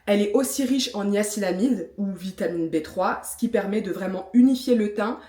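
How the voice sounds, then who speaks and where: A chatty woman in her thirties from France, talking straight to camera with lots of little fillers and playful self-mockery. Her voice sits at an ordinary middle pitch. The room around her rings with a noticeable echo. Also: normal speed, warm tone. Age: 30s. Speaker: vlogger. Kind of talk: casual vlog talk with product review. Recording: echoey room.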